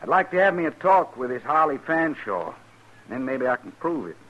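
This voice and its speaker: Proud, forceful cowboy. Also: male voice, mid-range voice, big pitch swings, normal speed. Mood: earnest. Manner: plain-spoken, stubbornly earnest. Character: proud, rough but honest Texan cowboy. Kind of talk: 1950s radio western acting. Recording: dull 1950s radio recording.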